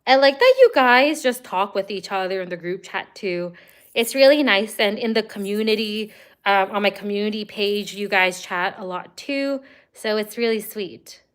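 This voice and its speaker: A woman with a smooth, clear voice, talking casually on a livestream. Her pitch sits in an ordinary middle range. She chats loosely with viewers and fills her sentences with "like" and "um.". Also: moderate pace. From Canada. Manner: chatty, frequent "like" and "um" fillers. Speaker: livestream host answering viewer comments.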